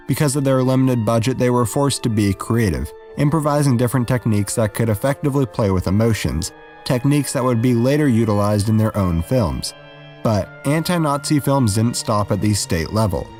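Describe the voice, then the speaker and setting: A man's voice: mid-range voice, darkish tone. A man reading scripted educational narration as the host of a video essay. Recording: voice-over with music behind. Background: music.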